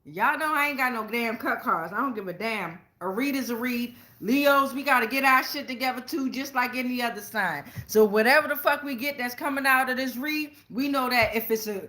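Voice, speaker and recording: Casting: American woman as tarot host, sharp bright tone, fairly high pitch, casual, blunt and slangy delivery. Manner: blunt, slangy, freely swearing. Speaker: tarot reader and show host. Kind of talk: casual tarot reading to viewers.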